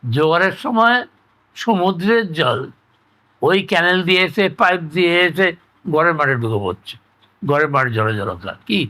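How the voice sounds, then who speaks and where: An older man with a West Bengal Bengali accent, explaining things in a halting, repetitive, conversational way as he reminisces. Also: fairly high pitch, very animated, sharp tone. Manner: halting, repetitive explaining with frequent tag words. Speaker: interviewee recounting his experience. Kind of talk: conversational oral-history reminiscence.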